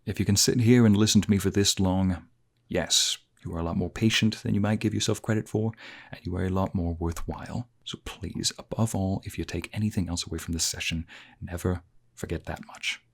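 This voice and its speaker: A man plays a calm male therapist, polite and honest, talking straight to the listener. His voice sits very low, and he keeps it soft and measured, reassuring as an ASMR roleplay. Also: breathy edge. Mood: encouraging.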